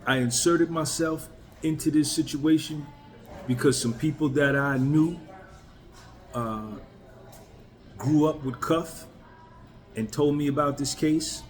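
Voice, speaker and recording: A man's voice: a little high, slow, pauses often. A man in his fifties, a YouTuber, talking candidly and bluntly to his viewers in a casual, emphatic way. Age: 50s. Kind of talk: casual talk to camera.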